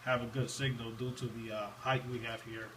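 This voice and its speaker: A man's voice: medium pitch, flat delivery, fairly quick. A man from Puerto Rico talking casually as an amateur radio operator, repeating phrases as he explains. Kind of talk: casual ham radio conversation. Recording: distant, noisy room capture.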